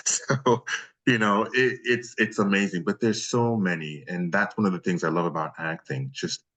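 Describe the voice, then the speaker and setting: Very low-voiced man chatting in an interview. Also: fairly even intonation, normal speed, a bit bright. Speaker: freelance voice actor being interviewed. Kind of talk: casual interview chat.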